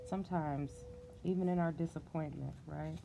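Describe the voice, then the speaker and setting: A middle-aged woman reading a storybook aloud in an encouraging, conversational way, pausing to put questions to a young listener. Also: low-pitched, fairly even intonation, gravelly, background noise.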